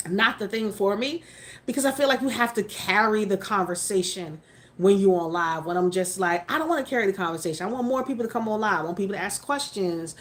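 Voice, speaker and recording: A woman in her forties hosting a casual livestream, talking frankly and emphatically while answering viewer comments. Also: mid-range voice. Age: 40s. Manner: frank, mildly profane, emphatic. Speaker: livestream host.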